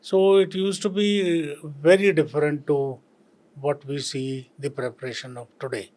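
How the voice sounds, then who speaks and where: An older man from Punjab with an Indian accent, a retired civil servant answering interview questions slowly and reflectively, with many pauses and fillers. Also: fairly high pitch, fairly even intonation, darkish tone.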